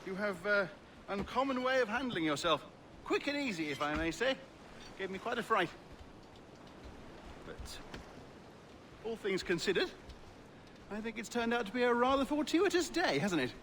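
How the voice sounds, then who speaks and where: An actor playing a polite, chatty, timid gentleman merchant from a plantation, effusive and old-fashioned in his phrasing, in a video game cutscene. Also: sits high, very animated, normal speed, long pauses, slight background noise. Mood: chatty, pleased. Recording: loud music behind the voice.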